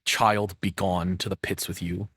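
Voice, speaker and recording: A man's voice: low voice, level tone, a little slow, fairly bright tone, breathy edge, no room sound, clean studio sound. A young adult man doing casual reaction commentary as a content creator, rambling, sarcastic and freely swearing.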